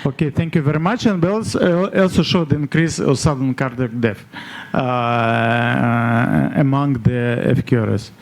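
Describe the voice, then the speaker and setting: A man's voice: medium pitch, measured pace, hardly any pauses. A man questioning a speaker at a scientific conference in hesitant, fragmented, probing phrases. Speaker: conference questioner commenting on the talk. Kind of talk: informal academic questioning.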